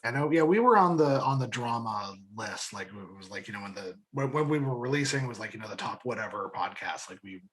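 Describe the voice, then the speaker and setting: A man who makes audio dramas, chatting casually and haltingly on a panel, over a noisy microphone. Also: on the low side, expressive, a bit bright. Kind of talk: casual chat. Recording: clearly noisy mic with clicks.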